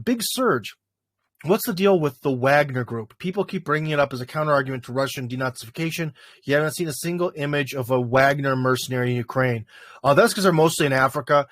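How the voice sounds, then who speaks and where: A man's voice: medium pitch, fairly bright tone, dry recording, studio quality. A man hosting a casual livestream, answering viewer questions in a rambling way with lots of fillers. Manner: rambling, filler-heavy, thinking aloud.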